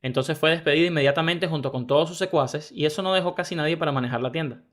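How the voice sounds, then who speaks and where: A man narrating first-person internet stories in a brisk, even reading style. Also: on the high side. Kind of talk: scripted story narration.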